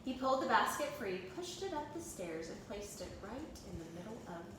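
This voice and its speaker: Lady teacher reading a kids storybook out loud, super echoey, kinda far from the mic. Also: medium pitch, big pitch swings, brisk. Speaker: second grade teacher reading aloud. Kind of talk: read-aloud storybook narration for children. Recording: echoey room, distant mic, clear background noise.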